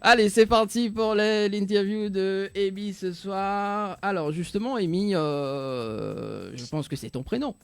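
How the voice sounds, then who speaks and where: A man's voice: high voice, unhurried, vocal fry, few pauses, clear, resonant tone, no echo. A man hosting a live show, bantering informally and jokily with hesitant phrasing as he interviews. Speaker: radio and TV show host.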